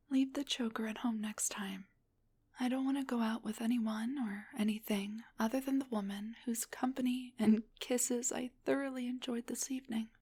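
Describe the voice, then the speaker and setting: A woman playing a shy, sweet, flirty dullahan on a date, soft, breathy and hesitant, in an intimate audio roleplay. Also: on the high side, steady tone, normal speed. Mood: smitten.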